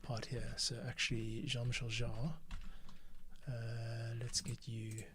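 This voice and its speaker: A man's voice: medium pitch, monotone, takes it slowly, heavy background noise. A man hosting and editing a podcast, thinking aloud in casual commentary that drops into muttered asides. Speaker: podcast host and editor. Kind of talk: casual screen-recording commentary. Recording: faint music and keyboard typing behind. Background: faint music, computer keyboard typing.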